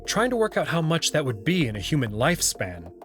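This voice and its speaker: A man's voice: a little high, wildly swooping pitch, a little fast, a bit bright, faint music behind. A young man reading scripted first-person narration for a visual novel as its protagonist.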